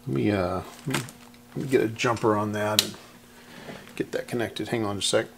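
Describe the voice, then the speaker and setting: An older man narrates his own repair work in a casual, think-aloud way. His voice is on the low side and gravelly, with faint noise in the workshop around him. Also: brisk. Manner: unscripted, thinking aloud while working. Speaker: host repairing vintage radios. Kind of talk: casual hands-on repair vlog. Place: indoor electronics workbench. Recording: noisy workshop, clicking sounds.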